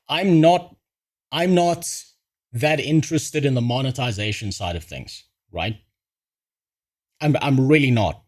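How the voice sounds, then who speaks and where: A male streamer ranting in a blunt, swear-heavy way, rambling from one point to the next. His voice sits at an ordinary middle pitch. He talks like he's reacting live to whatever's on screen. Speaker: streamer host.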